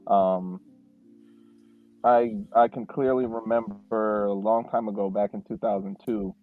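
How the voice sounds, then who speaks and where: A male podcast co-host telling stories in a relaxed, reflective way, with many fillers and restarts. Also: on the low side, a little slow, faint music behind. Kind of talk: casual podcast chat. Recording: tinny, phone-like dry sound.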